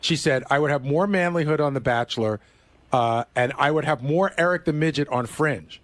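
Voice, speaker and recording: A man's voice: fairly high pitch, moderate pace, no echo. A man on a radio show casually relaying an item to the others. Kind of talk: casual reporting of an item on air.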